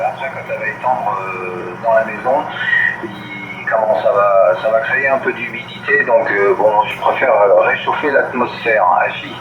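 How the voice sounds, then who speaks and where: A man's voice: fairly low pitch, moderate pace, muffled recording. Man on very noisy CB radio. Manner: hesitant, repeats himself. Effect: CB radio transmission. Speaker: CB radio operator. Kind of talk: casual radio chat. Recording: very noisy echoey CB radio signal.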